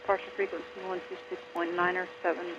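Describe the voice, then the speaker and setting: A high-voiced man reads air traffic clearances over radio with a gravelly creak. His delivery is procedural, and there is clear noise on the channel. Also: completely flat delivery, fast, dull audio. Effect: aviation radio. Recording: noisy aviation radio transmission.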